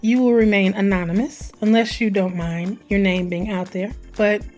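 A woman hosting her own podcast, her voice hoarse and scratchy, talking in an animated, conversational way and drawing out words for emphasis. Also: medium pitch, moderate pace, faint music behind. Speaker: podcast host. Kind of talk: solo podcast intro.

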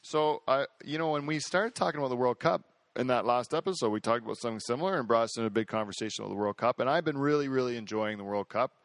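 This is a male podcast host with a middle-pitched voice, talking in a loose, rambling chat. He brags and gets excited, circling back on his own words. The sound is dry and close, with no room around it. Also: racing, few pauses, no room sound. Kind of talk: casual chat.

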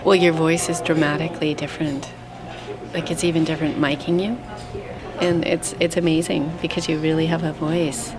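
Lowish-voiced woman interviewer. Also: flat delivery, normal speed, over music. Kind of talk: casual, conversational interviewing.

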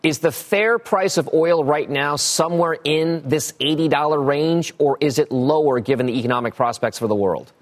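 Business anchor: American man, fairly high voice, live TV presenting and interviewing. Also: normal speed, hardly any pauses.

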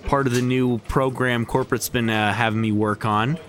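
A man's voice: mid-range voice, steady tone, normal speed, natural voice. A man playing an affable office boss in an audio comedy, talking casually with hesitant corporate small talk. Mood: affable, managerial. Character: affable male office boss making his rounds.

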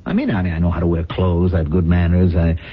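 An actor in a radio drama playing an ambitious, middle-aged rich idler who talks with brooding, emphatic intensity. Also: male voice, low-pitched, fairly even intonation, quick pace, breathy edge. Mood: bitter, intense. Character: ambitious, restless rich idler hungry for power. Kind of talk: 1970s radio drama acting. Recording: muffled, phone-like old radio broadcast.

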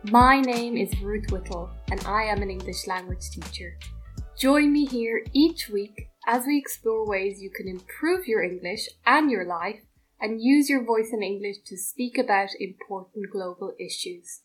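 A woman from Ireland, reading her podcast's scripted intro in a bright, inviting way. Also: medium pitch, unhurried, breathy edge, poor audio. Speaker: podcast host. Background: music bed.

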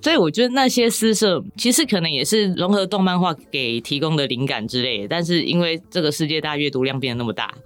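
A Taiwanese woman with a slightly low voice and very animated intonation, chatting casually as a guest author. She explains her ideas wryly and jokingly, with faint music under the talk. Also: fast talker, few pauses. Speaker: novelist, podcast guest. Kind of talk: casual chat.

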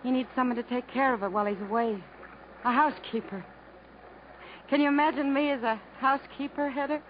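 A woman's voice: high-pitched, steady tone, a little fast, lightly acted. A woman acting in a 1950s radio drama, playing a subdued, wistful, resigned woman with a dreamy, restrained way of talking. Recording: muffled, noisy 1950s radio broadcast.

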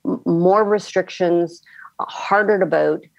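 A middle-aged woman from the American Midwest with a deep voice and plenty of vocal fry. She talks plainly and earnestly as an interview guest, recorded very dry. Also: measured pace, no room sound, muffled recording. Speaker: former election official, interview guest. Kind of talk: conversational interview.

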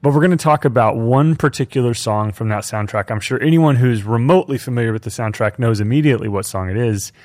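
A man's voice: mid-range voice, quick pace, hardly any pauses, darkish tone. A man of about forty, an enthusiastic podcast host talking about pop culture. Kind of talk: scripted narration and casual chat about pop culture.

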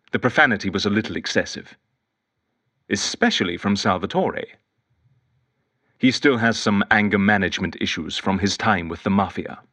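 A man's voice: medium pitch, a bit bright, exaggerated voice. An actor playing a lonely, eccentric male detective who rambles fussily and whimsically into an audio diary in an audio drama. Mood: dryly chatty. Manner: fussy, whimsical, wistful, digressive. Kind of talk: audio drama monologue as spoken journal.

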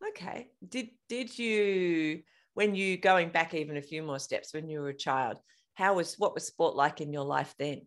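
A woman's voice: sits low, moderate pace. A woman hosting a podcast, enthusiastic and chatty, often restarting her sentences as she asks questions. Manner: enthusiastic, chatty, trips over her words. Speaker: podcast host. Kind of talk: podcast interview.

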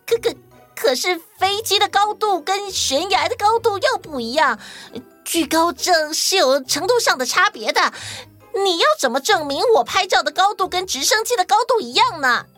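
A woman's voice: very high-pitched, lively intonation, normal speed, a bit bright. A woman voicing a female kangaroo in a children's audio story, pleading and exclaiming as she defends herself. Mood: defensive protest. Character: female kangaroo, defensive, then tearfully remorseful. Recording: music under the voice.

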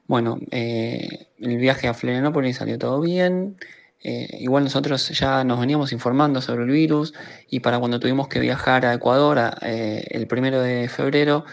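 Gravelly Argentine man telling his story. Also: mid-range voice, fairly even intonation, moderate pace, dull audio. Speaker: interviewee telling personal experience. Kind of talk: casual personal account.

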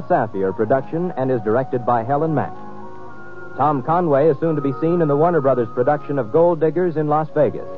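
A male radio announcer reads scripted credits in a mid-range voice. The old recording is muffled and narrow, with music clearly behind him. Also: mid-range voice.

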